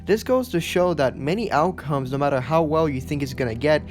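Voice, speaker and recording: A man's voice: on the high side, brisk, soft background music. A man hosting a video essay, reading scripted countdown narration in wordy, awkward phrasing.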